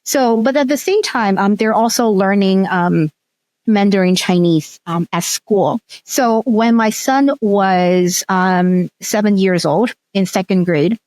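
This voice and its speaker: A middle-aged woman from Hong Kong whose first language is Cantonese. She speaks at a mid pitch and recounts stories thoughtfully as an interview guest, often pausing with um and restarting. The sound is dry and close to the mic. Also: darkish tone, dry recording. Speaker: researcher and guest. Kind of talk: casual interview storytelling.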